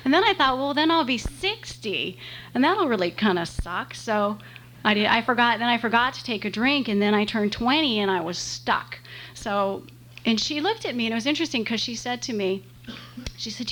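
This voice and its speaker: A woman in her forties from the San Fernando Valley, speaking at a meeting podium in a chatty, self-deprecating way with halting restarts. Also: medium pitch, a bit bright. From Los Angeles, San Fernando Valley. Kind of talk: personal talk at a meeting. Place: meeting room. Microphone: podium microphone. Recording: faint hiss and hum, live room tape.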